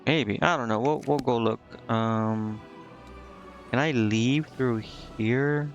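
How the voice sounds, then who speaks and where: A man's voice: medium pitch, slow pace, highs cut off. A man doing casual live game commentary as a streamer, hesitantly thinking aloud to himself and reading on-screen text out. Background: game music.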